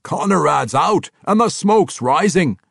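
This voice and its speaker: A roaring, boisterous dwarf warrior. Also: male voice, fairly high pitch, lively intonation, slow pace, gravelly, a bit bright, no echo, studio quality, voice pushed up, heavily acted. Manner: roaring, laughing, folksy dialect. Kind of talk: audiobook character voice.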